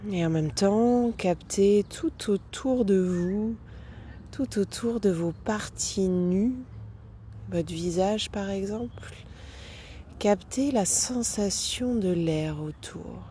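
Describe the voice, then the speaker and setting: A woman guiding a yoga nidra meditation as a teacher, speaking calmly and gently with long pauses between phrases. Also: mid-range voice, slight background noise. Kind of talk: guided meditation, soothing instruction.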